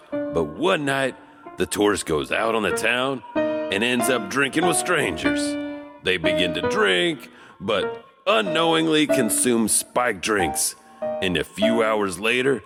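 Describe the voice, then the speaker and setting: A man's voice: mid-range voice, creaky. A man hosting a podcast, telling spooky tales in a theatrical, folksy, conversational way. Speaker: podcast host. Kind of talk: spooky storytelling narration. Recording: long reverb, music underneath. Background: music.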